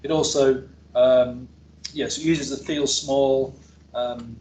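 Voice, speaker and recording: A man's voice: mid-range voice, fairly even intonation, takes it slowly, darkish tone, a little hiss or hum, low-quality recording. A male academic researcher presenting a technical seminar in an informal, explanatory way, with hesitations and self-corrections. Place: seminar room with an audience present.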